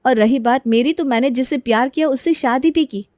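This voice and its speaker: A woman's voice: high voice, a little fast, darkish tone, no room sound, pitched below the performer's own voice, lightly acted. Young daughter-in-law, played by a woman narrator: earnest, polite, anxious to be understood, close-miked domestic drama. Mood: earnest, defensive. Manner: polite, reasoning, defensive. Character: earnest, worried young daughter-in-law, respectful but misunderstood.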